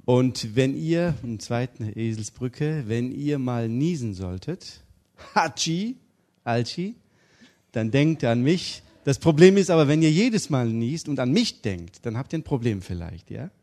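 Joking middle-aged preacher from Turkey. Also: male voice, medium pitch, unhurried. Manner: humorous, lively storytelling. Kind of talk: interview and sermon.

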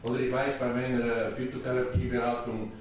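A man speaking up unscripted from an audience, halting but insistent. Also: mid-range voice, flat delivery, a little hiss or hum. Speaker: audience member, herbal therapy advisor. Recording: very echoey room, distant from microphone.

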